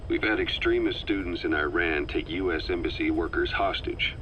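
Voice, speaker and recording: An actor playing a worldly, composed military operative in a game, briefing a comrade in a measured, matter-of-fact way. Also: male voice, low voice, muffled recording, lightly acted. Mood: sober, informative. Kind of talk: scripted game dialogue, expository briefing. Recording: faint background noise, cassette tape briefing.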